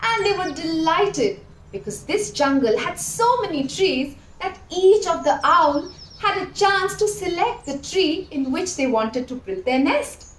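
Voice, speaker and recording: A woman telling a children's story in an expressive, deliberate way, addressing young listeners directly. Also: very high-pitched, roomy sound, slight background noise, rough recording. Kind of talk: animated scripted storytelling for children.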